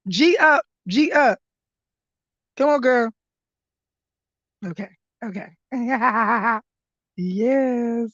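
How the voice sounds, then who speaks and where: Brief: hoarse woman, rowdy live-stream host, higher voice, dull dry lo-fi audio. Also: animated, drawn-out words, long pauses, no room sound. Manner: loud, laughing, profane hype commentary. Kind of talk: casual live-stream chat.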